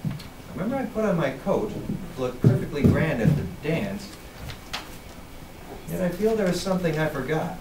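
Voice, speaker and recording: Young man, animated verse reader, highish voice, distant roomy sound. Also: normal speed, long pauses, very noisy. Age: young adult. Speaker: student readers theater performer. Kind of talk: theatrical poetry recitation. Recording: distant mic, echoey room, loud music.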